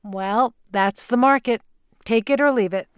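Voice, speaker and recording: A woman's voice: a little high, unhurried, clear, resonant tone, dry recording, lightly acted. A woman playing an unflappable, businesslike alien real estate agent in an audio play, with a salesy delivery. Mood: smooth sales pitch.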